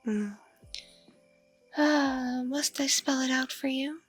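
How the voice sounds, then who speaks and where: A woman playing a seductive, hungry mature vampire mother in an intimate ASMR roleplay, teasing and condescending, breathy and slipping into whispers. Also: high voice, faint music behind, full character voice. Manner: slow, teasing, hesitant hums and pauses.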